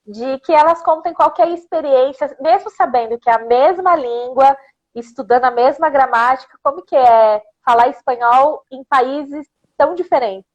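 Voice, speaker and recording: A woman with a São Paulo Brazilian accent and a high voice hosts a relaxed chat. She explains words the way a teacher would, with bright asides and quick laughter. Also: highs cut off. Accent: São Paulo Brazilian Portuguese. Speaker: Spanish teacher and host. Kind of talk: casual interview chat.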